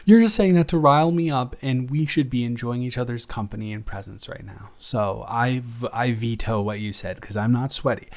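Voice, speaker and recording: A man playing a teasing, affectionate young roommate who gets easily flustered, speaking haltingly and conversationally in an intimate improvised audio roleplay. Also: mid-range voice, lightly acted. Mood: flustered, defensive. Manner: halting, conversational, self-correcting.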